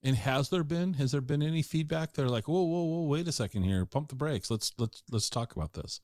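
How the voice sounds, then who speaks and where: Man asking halting questions, dry sound. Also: fairly high pitch, lively intonation, a little mellow, no room sound. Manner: hesitant questioner, restarts questions. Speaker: podcast host. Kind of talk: casual interview.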